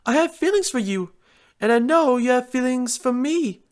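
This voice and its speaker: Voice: high-pitched, expressive, moderate pace, voice pushed up. A narrator voicing an elegant, flustered adult female pony in a storybook reading, coy and hesitant. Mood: earnest confession.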